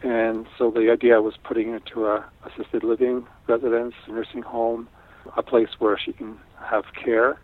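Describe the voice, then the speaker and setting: A middle-aged man from Canada, Italian as his first language, talking in a thoughtful, unhurried way with frequent restarts in an interview. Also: medium pitch, level tone. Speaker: guest artist. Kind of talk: casual interview talk. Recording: tinny phone line.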